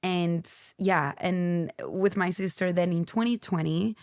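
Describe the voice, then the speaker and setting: A woman in her late twenties with a Venezuelan accent, talking as a guest in a loose, rambling way with restarts. Her voice sits low and has a gravelly fry to it. The sound is dry and close, with no room around it. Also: a little slow, darkish tone, no room sound. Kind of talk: casual interview chat.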